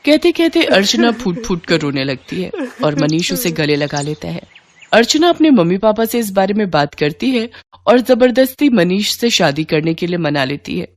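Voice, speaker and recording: A woman's voice: fairly low pitch, big pitch swings, moderate pace. A woman narrating a scripted moral story as the storyteller.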